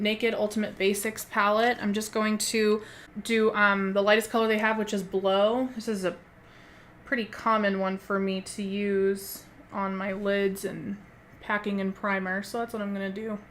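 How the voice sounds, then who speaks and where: A woman beauty vlogger, hoarse from a cold, chatting casually and rambling with many ums while she does her makeup at home. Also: medium pitch, fairly bright tone, slight background noise. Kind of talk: casual makeup tutorial chat.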